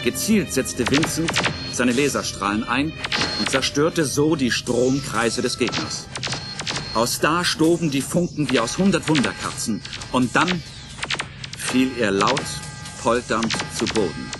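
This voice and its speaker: A man's voice: mid-range voice, lively intonation, measured pace. A man narrating a science fiction radio play as scripted, dramatic storytelling. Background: music under the voice.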